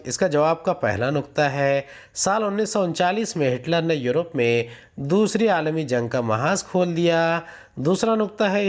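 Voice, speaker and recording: A man reading out a lesson methodically as a teacher, announcing each numbered point. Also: on the high side. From India. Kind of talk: scripted lesson, reading questions and answers aloud.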